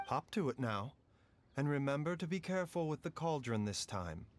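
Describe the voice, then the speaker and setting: A man voicing a calm, courteous doctor who speaks in a measured, formal way. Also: on the high side, close to an everyday voice. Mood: composed. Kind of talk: video game voice acting. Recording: game audio with soft music.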